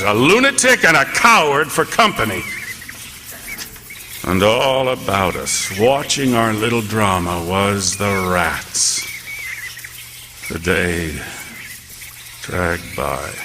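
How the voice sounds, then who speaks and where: An actor playing a haunted lighthouse keeper who tells his ordeal in a radio play, with gravelly dramatic storytelling that drops to hushed tones. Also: on the low side, long reverb tail, background noise, exaggerated voice. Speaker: narrator and lead actor. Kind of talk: live radio theatre.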